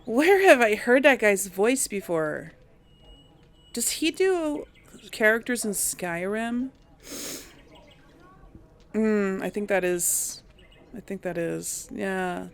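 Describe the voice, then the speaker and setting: A woman's voice: medium pitch, expressive, slow pace, long pauses, clear, resonant tone. A woman giving casual let's play commentary, hesitantly thinking aloud as she goes. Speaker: gaming video host and player.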